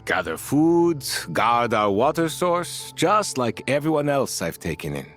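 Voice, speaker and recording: Gruff kind dad-type desert leader for a game, folksy plain talker, music in the back. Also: male voice, mid-range voice, big pitch swings, a little slow, a bit bright. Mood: kindly, inviting. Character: fatherly desert band leader, gruff but kind, seasoned protector. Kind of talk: video game voice acting. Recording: studio voice over prominent music.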